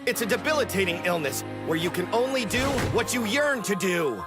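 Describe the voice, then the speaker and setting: A man's voice: high voice, animated, a little fast. An actor in an anime dub playing an eccentric, self-absorbed young male teacher with a theatrical manner. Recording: loud music behind.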